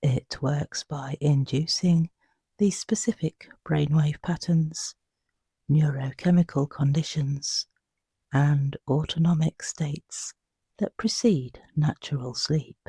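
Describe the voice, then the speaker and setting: Slow, hushed sleep meditation voice. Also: medium pitch, fairly even intonation, breathy edge, no echo. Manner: hushed, soothing, unhurried with long pauses. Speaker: meditation guide. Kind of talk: guided sleep meditation narration.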